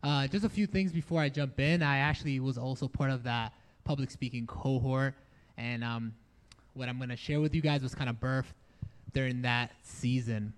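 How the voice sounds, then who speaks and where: A young man from the Dominican Republic, raised in New York City, sharing a relaxed personal testimony with a congregation in a barn church, with easy laughs and filler words. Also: mid-range voice, normal speed. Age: young adult. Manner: relaxed, chatty, laughs easily, many fillers. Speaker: church resident speaker. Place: barn used as a church hall, rain outside.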